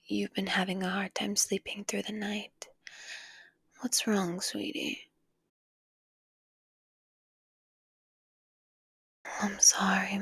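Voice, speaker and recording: A woman playing a possessive, doting female villain in an intimate ASMR roleplay, coaxing and tender, with a breathy voice that often drops to a whisper. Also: on the high side, dry recording, exaggerated voice. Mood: soothing, doting. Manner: coaxing, tender, lingering on pet names.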